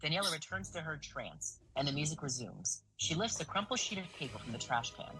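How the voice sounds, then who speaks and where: A woman's voice: low-pitched, very animated, fairly quick, pushed and bright, rough recording. A woman reading scripted stage-direction narration for an audio drama. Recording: music bed behind the voice.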